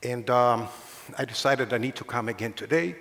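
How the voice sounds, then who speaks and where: A man in his seventies with an Israeli accent gives a prepared public speech in a measured, formal way with deliberate pauses. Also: medium pitch, fairly bright tone, a little hiss or hum. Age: 70s. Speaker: guest speaker, engineering professor and founder. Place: large hall, speaking to an audience. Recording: echoing hall with long reverb.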